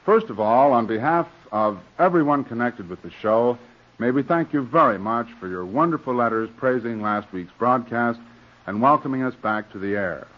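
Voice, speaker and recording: A man's voice: medium pitch, lively intonation, moderate pace. A man hosting a 1940s radio show, addressing listeners in a polite, formal, wry scripted manner. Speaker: host and director. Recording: dull vintage 1940s radio recording.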